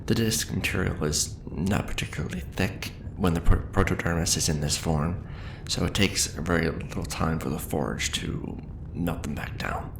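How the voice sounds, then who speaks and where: A man playing a seasoned, patient and wry craftsman teacher in an ASMR roleplay, speaking in a measured, hushed way with dry humor. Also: sits low, gravelly, reverberant, noisy background. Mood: focused. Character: seasoned male sci-fi fantasy mask-forging craftsman teacher, patient, wry. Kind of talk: ASMR roleplay, instructional monologue.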